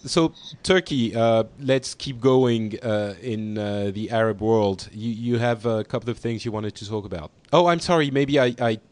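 A man's voice: on the low side, normal speed, few pauses, a little mellow, no echo. A man hosting a casual group podcast, hesitant and prone to restarts and laughter. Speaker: podcast host.

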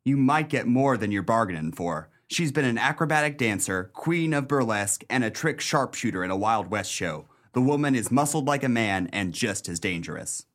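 A man's voice: medium pitch, animated, normal speed, hardly any pauses, a bit bright, natural voice. A man acting a loyal, vengeful 1930s police detective in a pulp crime radio play, earnest and slangy. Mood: stern warning.

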